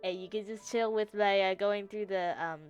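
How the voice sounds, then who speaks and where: A woman's voice: mid-range voice, steady tone, normal speed. A woman streaming games, chatting casually and easygoingly with playful asides to her viewers. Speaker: variety game streamer. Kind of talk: casual livestream chat and reactions. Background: background music.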